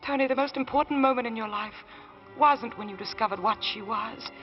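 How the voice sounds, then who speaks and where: An actress playing a loyal, tender wife, earnest and deliberate, in an old radio drama. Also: female voice, a little high, lightly acted. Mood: fervent. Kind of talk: 1940s radio drama acting. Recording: dull old radio recording, music behind.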